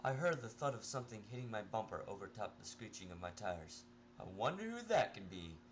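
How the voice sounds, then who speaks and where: A man's voice: fairly low pitch, background noise. A man narrating a first-person story as an amateur reader, informal and prone to stumbling over words. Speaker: amateur fan fiction reader.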